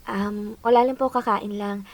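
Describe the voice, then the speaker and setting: An actress playing an awkward, evasive young woman in a radio drama, talking in halting, polite bursts. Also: mid-range voice, steady tone, dry recording, natural voice.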